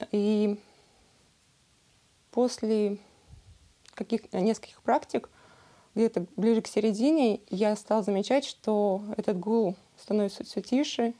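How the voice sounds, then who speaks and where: Thirties woman, warm tone, hesitant testimony. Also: mid-range voice. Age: 30s. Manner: hesitant, many filler sounds. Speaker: retreat participant. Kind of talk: personal testimony.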